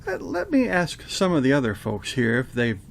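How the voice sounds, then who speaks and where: A man acting as a genial but creepy radio theater host, with a theatrical, smoothly polite delivery. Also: medium pitch, huge pitch swings, normal speed, a little hiss or hum. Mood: casually polite. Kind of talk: old-time radio host introduction and acting.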